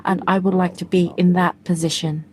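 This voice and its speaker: A woman's voice: fairly low pitch, little pitch movement, a little fast, a crowd in the background. A woman giving live spoken interpretation of a forum speaker's remarks.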